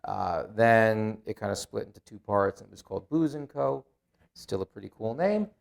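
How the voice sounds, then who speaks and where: A middle-aged man with an Israeli accent talks in a low, gravelly voice. He tells his story in a relaxed interview, with dry, self-deprecating asides. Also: moderate pace. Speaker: guest. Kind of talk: casual interview.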